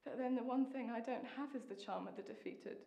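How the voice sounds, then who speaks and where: A woman with a British accent acting a lonely, bitter, longing young wife in a stage monologue, intense and deliberate, with dramatic pauses. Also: on the high side, creaky, dark tone. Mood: determined. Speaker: acting student. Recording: echoey room.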